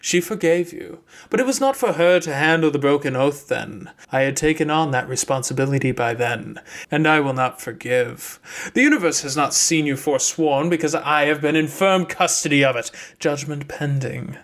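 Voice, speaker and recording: A man voicing a cold, controlled male mage in an audiobook, measured and formal as he accuses. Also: fairly high pitch, a bit bright. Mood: cold, stern.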